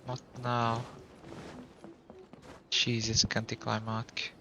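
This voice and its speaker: A man's voice: mid-range voice, monotone, slow pace, heavy background noise. A man giving casual, offhand gameplay commentary as he streams. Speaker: game streamer. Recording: game music behind the voice. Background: video game music.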